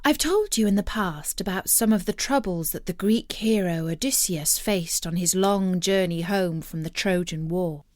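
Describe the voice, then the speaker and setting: A woman narrating a scripted story in an expressive, measured storytelling manner. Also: on the low side, no echo, studio quality.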